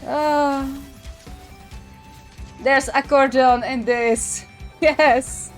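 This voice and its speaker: Unhurried woman giving casual reactions, faint music. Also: high-pitched, steady tone. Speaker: reaction video host, gamer.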